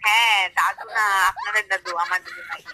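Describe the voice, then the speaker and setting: A woman's voice: mid-range voice, steady tone, a little fast. A woman arguing on a telephone call, curt, indignant and challenging. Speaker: prank call recipient. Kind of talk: phone argument. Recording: telephone call with faint noise.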